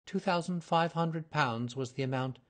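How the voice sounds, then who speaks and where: A man's voice: fairly high pitch, moderate pace, fairly bright tone, voice pushed up, natural voice. A man reading the part of a flustered, chatty young woman client who rambles eagerly in a raised voice. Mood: rambling. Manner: eager, rambling, breathless pleading. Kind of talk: audiobook character reading.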